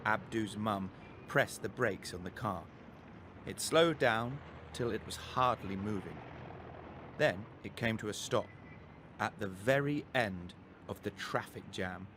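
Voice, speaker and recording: A man narrating a scripted children's story as a storyteller, expressive and deliberate, with faint vehicle noise behind him. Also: on the low side.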